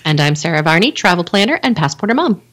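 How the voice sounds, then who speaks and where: A woman's voice: lowish voice, big pitch swings, fast, a bit bright. A woman co-hosting a podcast in casual, chatty talk, readily agreeing. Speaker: podcast co-host, travel planner.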